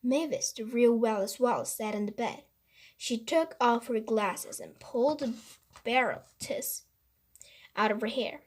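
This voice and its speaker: A woman's voice: fairly high pitch, a little slow, a little mellow. A woman narrating a children's book aloud in a read-aloud style. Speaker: storybook reader.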